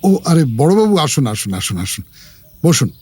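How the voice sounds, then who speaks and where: An actor playing a stubborn, urgent husband in a horror audio drama. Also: male voice, a little high, very animated, normal speed, darkish tone, a little hiss or hum. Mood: restless. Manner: urgent, insistent. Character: stubborn, agitated newlywed husband facing a haunting. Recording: music under the voice.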